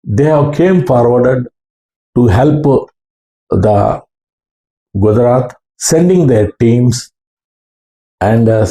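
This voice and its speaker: A man with an Indian English accent speaks slowly in a roomy, echoey space. His phrasing is formal and halting, with a mellow tone, as he tells a first-person account. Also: medium pitch, animated. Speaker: ham radio operator recounting experiences. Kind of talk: slow first-person storytelling talk.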